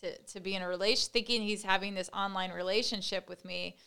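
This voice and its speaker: Bright, clear young woman. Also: medium pitch, fairly even intonation, fast talker. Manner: earnest, hesitant, with many restarts. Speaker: guest, social media influencer. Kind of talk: casual interview chat.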